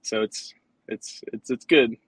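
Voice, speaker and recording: A man's voice: medium pitch, slow, breathy edge, no echo. Easygoing man, dry close sound. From United States, Guatemalan family. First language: Spanish. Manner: relaxed and easygoing, many "like" fillers. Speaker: guest, rugby coach. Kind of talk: casual interview chat.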